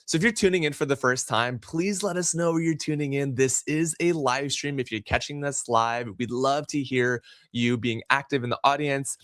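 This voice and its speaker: A man's voice: mid-range voice, normal speed, hardly any pauses, a bit bright, dry recording, studio quality. A man hosting a live-streamed business interview show, enthusiastic and effusive as he welcomes his guest. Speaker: podcast host.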